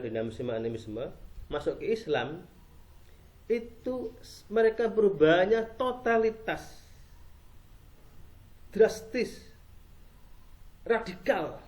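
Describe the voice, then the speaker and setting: A man's voice: a little high, darkish tone. A man from Java, giving an informal explanatory talk. He asks rhetorical questions and answers them himself. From Java, Indonesia. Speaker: speaker on Islam and culture.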